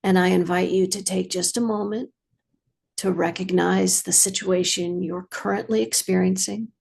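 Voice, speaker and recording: A woman meditation teacher leading a guided practice in a measured, soothing way, with long pauses and a slightly husky voice. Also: on the low side, fairly even intonation, a little mellow.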